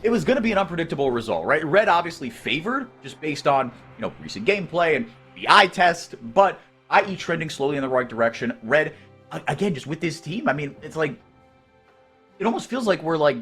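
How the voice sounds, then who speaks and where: A man calling esports play-by-play, excitable and rising sharply when fights break out. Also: fairly high pitch, brisk, breathy edge, faint music behind.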